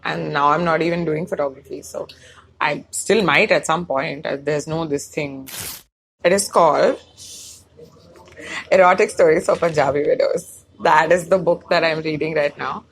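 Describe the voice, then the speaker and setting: Low, gravelly-voiced Indian woman. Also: fairly even intonation, moderate pace, low-quality recording. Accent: Indian English, Bangalore. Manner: rambling, slips between English and Hindi mid-sentence. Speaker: stand-up comic and comedy writer. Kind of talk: casual chat.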